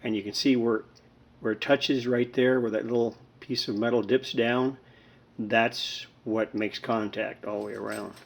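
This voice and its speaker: A man chatting casually in an unhurried, rambling, folksy way, as a hobbyist host of a home repair video recorded indoors. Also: medium pitch, steady tone, creaky.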